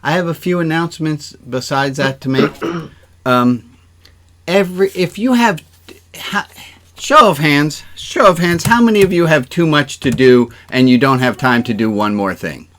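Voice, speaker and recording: A man's voice: a little high, normal speed, fairly bright tone. A man speaking as a rabbi, giving chatty, informal announcements to an online congregation on a video call, with joking asides. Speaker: rabbi hosting an online service.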